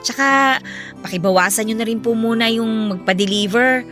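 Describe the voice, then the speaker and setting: A woman's voice: on the high side, moderate pace, fairly bright tone, faint music behind, natural voice. An actress playing a dutiful, hurt young Filipino daughter who pleads respectfully with her mother in a radio drama. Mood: careful, apologetic. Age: young adult. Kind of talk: emotional radio drama acting.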